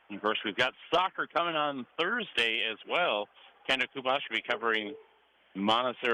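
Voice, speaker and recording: An American man calling a high school game live on the radio as play-by-play announcer. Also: pushed and bright, low-quality recording. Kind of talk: live radio sports commentary. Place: high school gym broadcast position. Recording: faint noise behind live broadcast.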